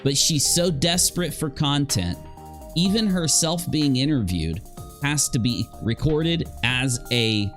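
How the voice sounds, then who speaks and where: Male reaction host, sarcastic and emphatic, mid-pitched, very animated delivery. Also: unhurried, faint music behind. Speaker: YouTube commentary show host. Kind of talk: reaction commentary, casual and critical.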